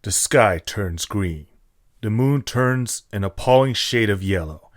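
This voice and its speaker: A man's voice: fairly low pitch, expressive, slow pace, no echo, studio quality. A man reading scripted story narration as the narrator of an audio drama.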